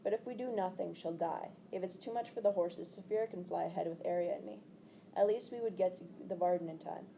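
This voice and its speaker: A woman's voice: on the low side, brisk, creaky, warm tone, a little hiss or hum. A woman reading the part of an earnest, stubborn teenage boy hero in a storybook.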